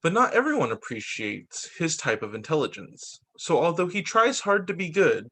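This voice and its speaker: Male teacher for children's read-aloud. Higher register, very animated intonation, expressive emphasis, slightly halting reading. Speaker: teacher reading picture books.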